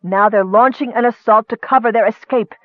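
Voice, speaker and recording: Man voicing an imperious high-pitched young noblewoman, muffled. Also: fast talker, no room sound, close to an everyday voice. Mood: fierce. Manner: commanding, cutting, sarcastic. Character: imperious young noblewoman, fierce, bitter, urgent.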